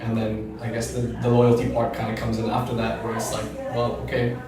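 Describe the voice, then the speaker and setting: A man in his late thirties talks things through at an even pace on a podcast, keeping his pitch fairly flat. He sits back from the microphone in a very echoey room, with a faint hiss behind him. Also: fairly quick, distant mic, a crowd in the background. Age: 30s. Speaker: gym co-founder. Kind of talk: podcast conversation. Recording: roomy echo, off-mic, faint noise.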